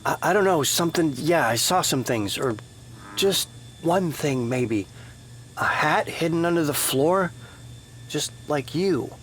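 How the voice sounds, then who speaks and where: An actor playing a thoughtful, skeptical male test subject in an audio drama, with a halting, pondering manner. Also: a little high, expressive, fairly bright tone, slight background noise, low-quality recording. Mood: dazed, searching. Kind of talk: naturalistic audio drama acting.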